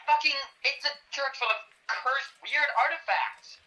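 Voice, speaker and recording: A man playing an excitable, defiant, sweary researcher of cursed artifacts in a horror drama, with a halting, sardonic way of blurting things out. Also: very high-pitched, exaggerated voice, poor audio. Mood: excited, sardonic. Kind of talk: horror roleplay dialogue on a call.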